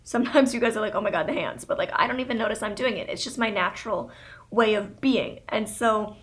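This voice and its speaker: A woman's voice: medium pitch, fairly quick. A young woman vlogger and motivational speaker telling a personal story to camera in a chatty, projecting way, with many filler "like"s. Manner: loud, projecting talker.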